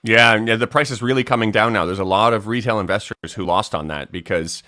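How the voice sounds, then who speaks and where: A man discussing politics casually as a livestream co-host, earnest and halting as he argues. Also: fairly low pitch, bright, forceful tone, dry recording, studio quality.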